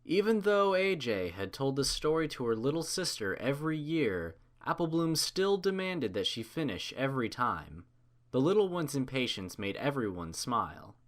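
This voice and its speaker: A man's voice: mid-range voice, big pitch swings, normal speed. A man reading a story aloud as narrator, in a scripted storybook style.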